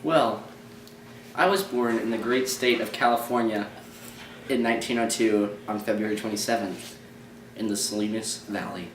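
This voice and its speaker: A man's voice: fairly low pitch, moderate pace, reverberant, recorded from a distance, slight background noise, close to an everyday voice, low-quality recording. A young man playing a boastful novelist on a school mock talk show, blunt and casual. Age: teens to young adult. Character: proud, boastful male author guest. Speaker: student playing a famous novelist.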